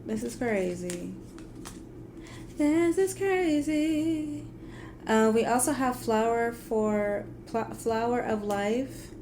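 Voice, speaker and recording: A woman hosting a tarot livestream in casual, animated, informal talk, thinking aloud and repeating phrases for emphasis, her voice turning a little raspy. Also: on the high side, slow pace, slight background noise. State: voice getting raspy. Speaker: tarot reader and livestream host.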